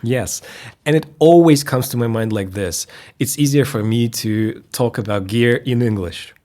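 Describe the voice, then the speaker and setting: A man from Russia whose first language is Russian, chatting as a guest, pausing to search for words. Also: mid-range voice, mellow. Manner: thoughtful, searches for words, laughs lightly. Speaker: guest, video creator. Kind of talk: casual conversation.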